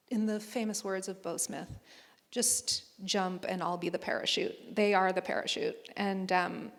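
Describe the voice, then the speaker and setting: A woman chatting on a convention panel, telling lively stories and putting on playful voices. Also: mid-range voice. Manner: animated storyteller, playful voices. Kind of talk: casual panel chat. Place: large hall on stage. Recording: reverberant hall sound.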